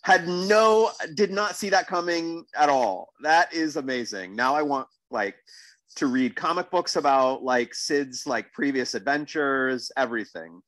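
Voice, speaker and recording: A man's voice: mid-range voice, measured pace, fairly bright tone, no echo, rough recording. A man podcast host giving casual, exclamatory reactions to a TV show, often repeating himself for emphasis.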